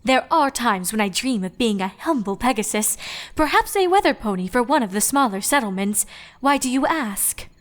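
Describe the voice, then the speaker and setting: A woman voicing a gentle, caring night princess pony with formal, old-fashioned phrasing in a storybook reading. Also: on the high side, lively intonation, moderate pace, in a large hall, close to an everyday voice. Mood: gently concerned.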